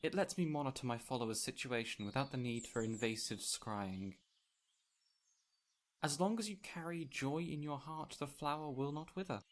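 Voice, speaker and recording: A man playing a gentle, whimsical fey spirit in an audio roleplay, speaking in a theatrical, formal, old-fashioned way straight to the listener. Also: medium pitch, normal speed, lightly acted. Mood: gently explaining. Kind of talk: intimate audio roleplay, addressing the listener directly. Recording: clear ambient noise with birdsong. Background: birdsong and forest ambience.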